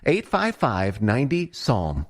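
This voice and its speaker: A man reads a scripted radio commercial as its announcer. His voice sits at a middle pitch. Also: huge pitch swings, measured pace. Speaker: advertisement announcer.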